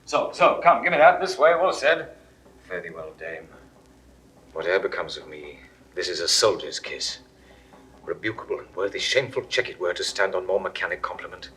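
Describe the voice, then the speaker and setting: An actor playing a commanding Roman general with a warm, proud manner and grand declamation, in a 1960s studio radio play. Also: male voice, low-pitched, lively intonation, moderate pace. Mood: solemn.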